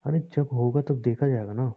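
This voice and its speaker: A man's voice: medium pitch, normal speed, dry recording, close to an everyday voice. A man acting as a devoted, reassuring young husband in a conversational romantic audio drama, earnest with a touch of teasing bossiness. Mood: happy, insistent. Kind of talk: romantic phone-call style audio drama in Hindi. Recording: muffled, narrow phone-like audio.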